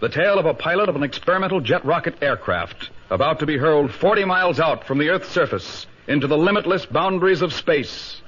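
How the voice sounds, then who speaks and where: A man's voice: fairly high pitch, lively intonation, fairly quick, fairly bright tone, breathy edge. A man announcing a 1950s radio drama in a portentous, theatrical style. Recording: dull, lightly noisy 1950s radio recording.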